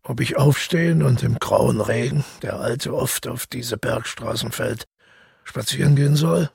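Husky, gravelly brooding recluse. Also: male voice, mid-range voice, a little mellow, no echo, studio quality, heavily acted. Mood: musing. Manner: musing, halting monologue. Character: reclusive brooding Scottish countryman remembering his childhood. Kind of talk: radio play monologue.

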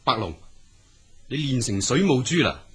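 A man's voice: medium pitch, big pitch swings, moderate pace, slightly rough. A man with a Cantonese accent playing a greedy, bullying river god in an audio drama, imperious, scornful and commanding. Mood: probing.

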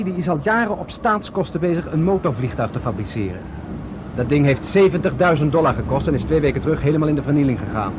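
A man's voice: on the high side, lightly acted. An actor playing Wilbur Wright, an earnest inventor proudly explaining his flying machine in a 1970s radio play. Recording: radio play with loud outdoor background noise.

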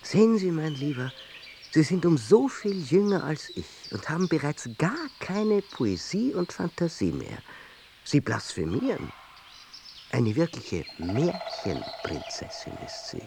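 An actor playing a worldly, teasing older gentleman in a theatrical audio drama, with an ironic manner. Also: on the high side, very animated, a little slow, creaky, a little hiss or hum, heavily acted. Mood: mock indignant.

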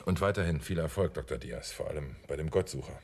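An actor playing a sardonic, excitable rebel in a radio drama, speaking in terse, restless phrases. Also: male voice, very deep voice, quick pace.

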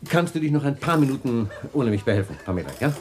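An actor in a radio drama playing a flustered party host who grows indignant on the phone. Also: male voice, medium pitch, lively intonation, fast talker, slight background noise, close to an everyday voice. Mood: troubled. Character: James Brent, rattled man hosting a party.

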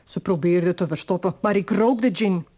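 A woman's voice: on the low side, moderate pace, mellow, natural voice. A woman acting in a Flemish radio drama as a worried, insistent young bride talking to her father. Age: young adult.

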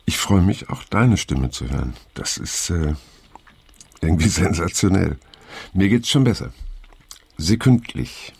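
An actor playing a weary, reflective older man in an introspective audio drama, with a gravelly voice and an unhurried manner. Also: deep voice, expressive, a little mellow, no room sound, full character voice. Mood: moved, hesitant. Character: weary, unsettled older man looking back on his youth. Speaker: narrator and main character. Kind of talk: introspective audio drama narration and phone dialogue.

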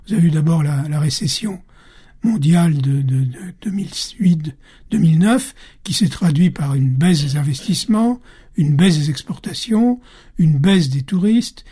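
Husky-voiced man explaining. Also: on the high side, mellow. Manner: measured, explanatory, with fillers. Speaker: guest expert. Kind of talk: explanatory interview answers.

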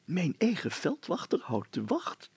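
A man voicing an amused, excitable village mayor who hisses his words in a hushed tone. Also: on the high side, unhurried, slightly rough, dry recording, pitched above the performer's own voice, exaggerated voice. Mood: amused, conspiratorial. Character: giggly, amused male village mayor hiding at night.

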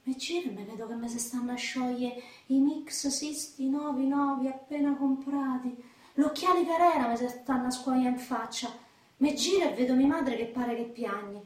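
A woman's voice: high-pitched, normal speed, recorded from a distance, close to an everyday voice. A woman playing a defiant, foul-mouthed young woman from Rome's outskirts in a radio drama, with a Roman accent and a brash, slangy delivery. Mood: dreamy, aggrieved. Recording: roomy echo, voice off the mic.

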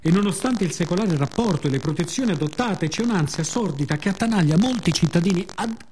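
Mellow male theatrical monologue reader. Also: high-pitched, expressive, fast talker. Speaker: monologue performer. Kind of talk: poetic, theatrical radio monologue. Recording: faint ambient background noise.

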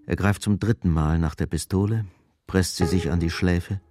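A man reads scripted narration for a radio drama. His voice sits fairly low, with music clearly playing behind it. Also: steady tone, moderate pace.

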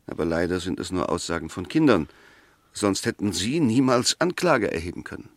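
An actor playing a methodical, argumentative defence advocate in a 1960s radio drama, deliberate and emphatic. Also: male voice, low voice, animated. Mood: insistent, reasoning. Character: argumentative, methodical male defence advocate dissecting a murder case.

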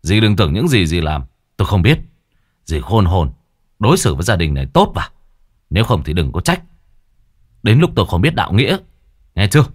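A man voicing a blunt, hot-tempered young man who talks gruffly and fast. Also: on the low side, big pitch swings, a bit bright, no room sound, clean studio sound. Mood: angry.

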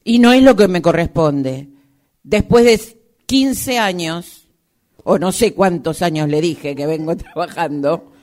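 A woman acting as a weary, aggrieved seamstress who protests haltingly to her boss in an improvised radio drama. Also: on the low side, darkish tone. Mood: indignant.

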